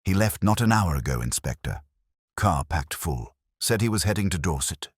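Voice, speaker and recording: Man acting a disapproving woman neighbour, very low voice, curt, clipped, unimpressed, detective story. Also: sing-song, moderate pace, breathy edge, dry recording, clean studio sound, heavily acted.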